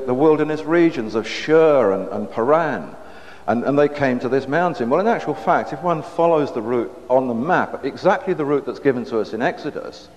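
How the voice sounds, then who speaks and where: A man's voice: medium pitch, darkish tone. Middle-aged man lecturing on a lo-fi hall recording. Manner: measured, with frequent restarts and repeated words. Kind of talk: public lecture. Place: stage with curtain backdrop, indoors. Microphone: lapel mic. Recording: dull lo-fi hall recording with faint hiss.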